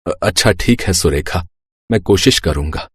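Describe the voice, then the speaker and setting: A man playing a poor village father, husky, hesitant and apologetic, in a Hindi animated moral story. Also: low voice, moderate pace, no room sound. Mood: gentle, apologetic. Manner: hesitant, soft-hearted.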